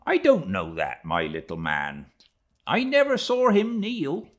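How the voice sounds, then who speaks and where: Man acting a loud, jolly, exclaiming ship's mate. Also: a little high, wildly swooping pitch, a little slow, voice pushed up, full character voice. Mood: teasing. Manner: exclamatory, teasing banter. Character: Stubb, jolly boisterous ship's second mate, adult man. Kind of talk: classic sea novel read aloud.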